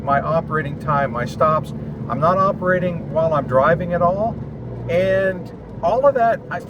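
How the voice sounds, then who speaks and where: Clear car and outdoor noise surrounds a man's slightly high-pitched voice. He speaks casually to his vlog audience from inside a vehicle. His explanations come in short, chatty phrases with pauses between them. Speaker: vlogger and amateur radio operator. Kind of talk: casual vlog talk to camera. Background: car and outdoor ambience noise.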